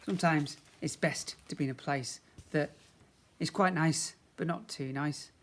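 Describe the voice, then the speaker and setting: A man's voice: fairly high pitch, measured pace. A man, a primary school teacher, reading a story expressively to young children.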